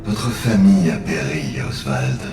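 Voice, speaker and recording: A man voicing a solemn, mysterious oracle who leads a half-demon people, speaking in a grave, measured way. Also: rumbling low, animated, exaggerated voice. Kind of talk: amateur audio drama acting. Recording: echoey, distant, under music.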